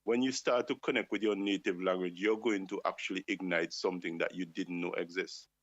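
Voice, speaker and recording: Deep-voiced impassioned man. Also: steady tone, brisk, dry recording. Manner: impassioned, asks rhetorical questions. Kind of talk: talk show discussion. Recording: dull lo-fi dry sound.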